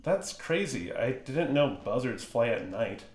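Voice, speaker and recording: A man acts a puzzled soldier on a night search in a radio drama. His voice is average in pitch and rings with echo. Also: sing-song, measured pace. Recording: echoey room sound.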